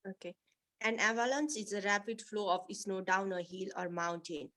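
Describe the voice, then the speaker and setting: A woman's voice: medium pitch, steady tone, normal speed, sharp tone. A woman who is a student in a test preparation class, reading aloud and repeating words.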